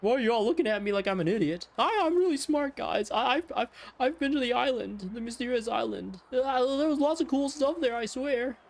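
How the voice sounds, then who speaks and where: A man's voice: very high voice, lively intonation, a little fast, vocal fry, few pauses, voice pushed up, full character voice. A man mimicking a whiny, defensive braggart, stammering and pleading, during a game stream. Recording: music behind the voice.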